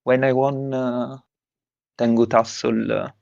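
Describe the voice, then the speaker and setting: Man with an Italian accent, gravelly. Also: mid-range voice, level tone, a little mellow, no room sound, highs cut off. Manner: halting, many "uh" fillers and restarts. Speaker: tournament winner. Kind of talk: post-win stream interview.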